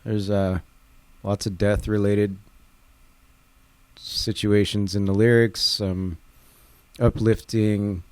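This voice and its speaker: A man in a band giving a casual interview, halting and tongue-in-cheek. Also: lowish voice, flat delivery, smooth, no echo. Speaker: band member being interviewed.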